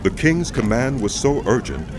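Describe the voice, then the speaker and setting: A man's voice: medium pitch, very animated, normal speed, over loud music. A man narrating a dramatized audio Bible with solemn, emphatic storytelling.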